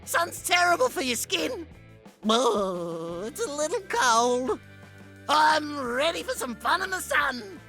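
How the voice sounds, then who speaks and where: An actor playing a manic, taunting young male troublemaker in a video game, with a high, sharp voice. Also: sing-song, slow pace, faint music behind, heavily acted. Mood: gleeful taunting.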